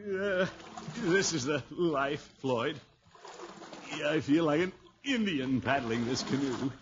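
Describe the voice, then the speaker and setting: A man's voice: a little high, animated, takes it slowly, over music. An actor playing a pompous, scheming middle-aged man in a blustery, theatrical 1950s radio comedy style. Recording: muffled, noisy vintage radio recording.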